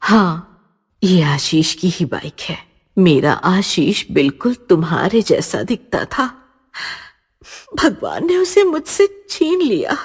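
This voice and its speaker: Audio story role: woman as fond expressive auntie, unhurried, with very animated intonation. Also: on the low side, breathy edge, full character voice. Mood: tearful. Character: affectionate, emotional aunt of a young man.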